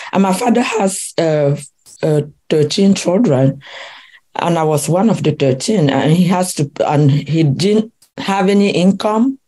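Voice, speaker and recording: An older woman from Cameroon tells her story as a podcast guest. Her voice sits low and mellow, and she gropes for words with many fillers and restarts, often breaking into a laugh. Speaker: guest, relationship coach. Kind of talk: interview conversation.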